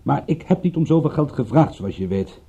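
Mellow, gruff private detective. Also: male voice, on the high side, expressive, brisk, lo-fi sound, full character voice. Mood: sincere, determined. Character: stubborn, irritable male private detective, wry and principled. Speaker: actor in a radio play. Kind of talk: radio drama acting.

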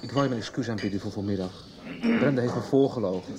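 A man's voice: lowish voice, fast talker, lightly acted. An actor playing an apologetic estranged father in a radio soap, earnest, insistent and pleading to be heard. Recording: radio drama with busy crowd ambience behind.

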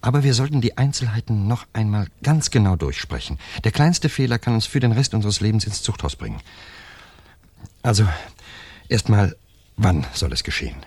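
An actor playing a wary, methodical lover plotting a murder in a German radio crime drama. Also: male voice, lowish voice, big pitch swings, moderate pace, darkish tone, breathy edge, full character voice. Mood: grave, cautious. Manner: cautious, methodical.

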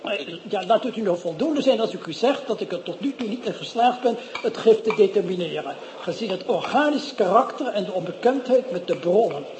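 An actor playing a fussy, pedantic, long-winded professor in a radio drama. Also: male voice, high-pitched, lively intonation, a little fast, few pauses, darkish tone, slight background noise.

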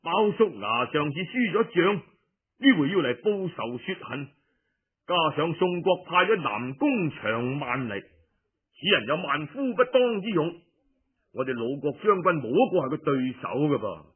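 Man voicing an anxious, husky Cantonese ancient ruler. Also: a little high, sing-song, a little slow, pitched below the performer's own voice, heavily acted. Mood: worried. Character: male ruler of an ancient state, anxious and deliberating. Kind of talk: audiobook storytelling. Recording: muffled phone-like radio recording.

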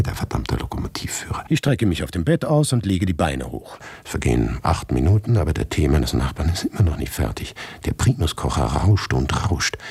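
Male radio drama narrator, low husky voice, very expressive, intense, hushed to agitated, dry close sound. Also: dry recording. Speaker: actor narrating a radio play in the first person. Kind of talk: dramatic literary radio monologue.

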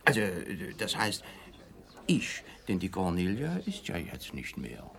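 Guileless, swooping low-voiced fugitive. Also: male voice, wildly swooping pitch, a little slow, fairly bright tone, heavily acted. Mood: eager, scheming. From East Germany, Dresden (role). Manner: guileless, eager, protesting. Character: naive, excitable East German man on the run. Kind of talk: radio crime comedy acting.

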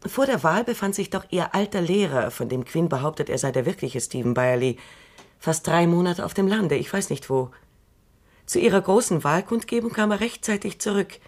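An actress playing Doctor Calvin, a shrewd, dry and assured elderly woman robot psychologist, speaking in a measured, knowing way in a radio drama. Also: fairly low pitch, lively intonation, darkish tone. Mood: wry.

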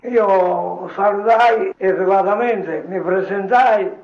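An elderly man, a grandfather, informally recounting his memories as a veteran in short, halting phrases. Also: high-pitched, gravelly, reverberant, muffled recording. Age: older, a grandfather. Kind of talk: informal spoken reminiscence.

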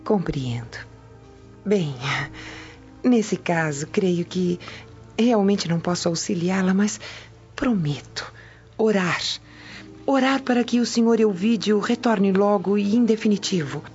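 A woman's voice: medium pitch, takes it slowly, a little mellow, faint music behind, natural voice. A woman with a Brazilian accent playing a falsely sweet, insinuating Roman maidservant in a radio drama. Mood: falsely solicitous. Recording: dull, muffled studio track.

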